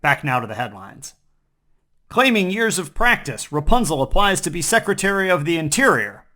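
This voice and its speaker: A man with a fairly high voice anchors a comic newscast. He reads scripted headlines and reports in a mock-serious, newsreader way. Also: very animated, moderate pace, bright, forceful tone. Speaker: host and news anchor.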